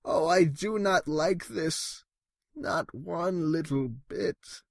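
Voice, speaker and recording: A man's voice: a little high, extremely slow, creaky, dry recording, full character voice. A man voicing an anxious little female pet fish from a storybook, fretful and insistent as she protests. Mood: frightened pleading.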